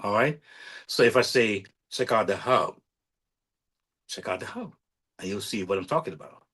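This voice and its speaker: Middle-aged male podcast host, husky and fairly low, earnest self-promoting coach who keeps checking in with the listener. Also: expressive, moderate pace, a bit bright. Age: 40s. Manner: repetitive, keeps asking "all right?". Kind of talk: casual motivational monologue.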